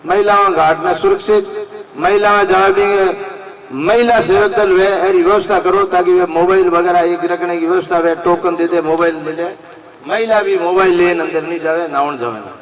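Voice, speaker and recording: A man with a Rajasthani accent speaks in a high voice to a community gathering. He makes emphatic, repeated appeals as a leader. The microphone sits far from him, with a clear crowd sound around. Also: fairly even intonation, moderate pace. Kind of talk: public speech to a community gathering. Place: large fair gathering. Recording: distant microphone amid an open crowd.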